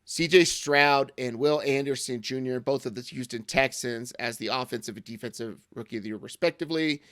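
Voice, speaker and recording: A man in his thirties with an American accent, co-hosting a casual sports podcast, hoarse from losing his voice, rambling with lots of fillers and jokes. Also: medium pitch, fairly quick, a bit bright, no echo, clean studio sound. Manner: rambling, filler-heavy, joking. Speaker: podcast co-host.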